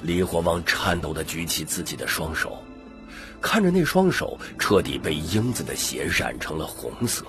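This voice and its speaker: A man's voice: deep voice, big pitch swings, moderate pace, a bit bright, faint music behind. A man reading dramatic scripted narration as an audiobook narrator.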